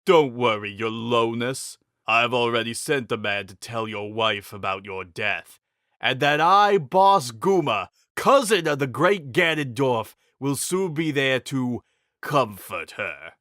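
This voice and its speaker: A hulking villain boss performed by a male reader gloats slowly and boastfully. The delivery is mocking and forceful, in a dry fantasy audiobook recording. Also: medium pitch, expressive, no room sound. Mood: gloating. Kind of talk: dramatic fantasy audiobook reading.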